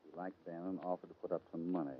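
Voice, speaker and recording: A man playing a calm, plain-spoken cowboy hero with a dry, easygoing manner in an old-time radio western. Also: sits low, very fast, slight background noise, natural voice. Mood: earnest. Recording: dull old radio recording.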